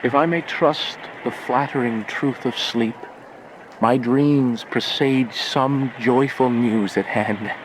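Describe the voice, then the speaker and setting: Slow, lovesick young nobleman played by a man. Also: mid-range voice, steady tone, lightly acted. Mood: dreamy, eager. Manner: declamatory, poetic. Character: lovesick, impulsive young nobleman turned desperate exile. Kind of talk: theatrical Shakespearean radio drama acting. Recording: faint background noise.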